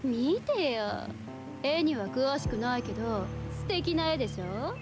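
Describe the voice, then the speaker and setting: A high-pitched, chatty female game bandit. She talks breezily and evasively with very animated swings. Game music plays clearly behind her. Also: unhurried. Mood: breezy. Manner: casual, feminine colloquial speech. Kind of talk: anime-style game voice acting.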